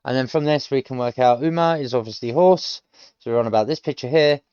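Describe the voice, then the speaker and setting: A man's voice: mid-range voice, a little fast, a little mellow, smooth, no room sound, muffled recording. A British man in his late thirties, thinking aloud as he works through a language course, explaining each answer step by step with frequent hesitations. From United Kingdom. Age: late 30s. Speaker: self-taught learner streaming. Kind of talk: thinking aloud while working through lessons.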